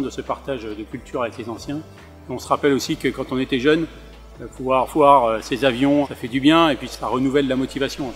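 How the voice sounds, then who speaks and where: A man on staff at a military technical school, briskly explaining it in an interview. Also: medium pitch. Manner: brisk, informative.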